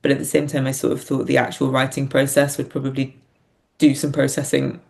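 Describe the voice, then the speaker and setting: A woman's voice: a bass voice, monotone, fairly quick, gravelly. A woman talking candidly and reflectively in a casual interview, thinking aloud as she goes. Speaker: podcast guest, playwright and performer.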